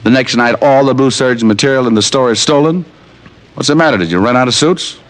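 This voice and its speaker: An American actor playing a flip, cash-strapped private detective with deadpan wisecracks in an old-time radio drama. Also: male voice, medium pitch, fairly quick, lightly acted. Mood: dry, skeptical.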